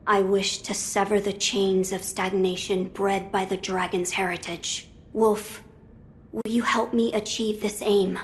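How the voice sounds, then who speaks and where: A woman voicing a young noble boy lord in a video game, formal, measured and earnest. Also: mid-range voice, little pitch movement, a little hiss or hum, close to an everyday voice. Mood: grave resolve. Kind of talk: video game cutscene acting.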